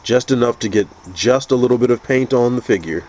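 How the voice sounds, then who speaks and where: A man with a stuffy, congested voice from a cold, calmly explaining a painting technique step by step with frequent restarts. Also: medium pitch, fairly quick. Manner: calm, methodical, with frequent restarts. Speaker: custom figure painter teaching. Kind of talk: painting tutorial narration.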